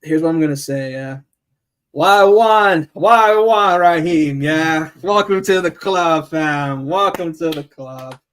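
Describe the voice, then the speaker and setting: A man hosts a live football chat, his voice sitting a little high for a man. He talks in an animated, playful way and stumbles through plenty of fillers and restarts. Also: takes it slowly, smooth. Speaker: host.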